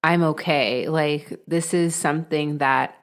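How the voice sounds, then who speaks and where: Woman, podcast host, measured, calm, deep voice, unhurried. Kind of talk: podcast interview.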